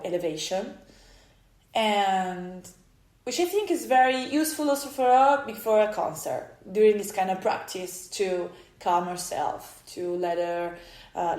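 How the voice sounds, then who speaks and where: Slow, halting Italian woman in an echoey room. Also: mid-range voice, darkish tone. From Italy. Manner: halting and emotional, with many restarts. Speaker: vlogger. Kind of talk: personal storytelling.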